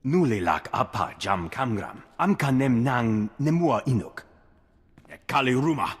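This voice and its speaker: An actor voicing a gruff alien gangster who speaks an alien tongue in a rough, gravelly voice with swooping delivery. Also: male voice, medium pitch, expressive, a little slow. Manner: swooping, growled alien speech. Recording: game audio with long reverb.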